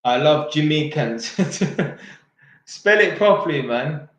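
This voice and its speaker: A man's voice: a little high, animated, takes it slowly. A man reads out viewer questions and answers them bluntly in casual, slangy chat, swearing freely and joking. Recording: very echoey room.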